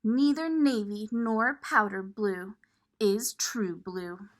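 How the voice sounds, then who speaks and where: A woman reading a picture book aloud to young children, expressive and pausing to point things out. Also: medium pitch. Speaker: children's storybook reader.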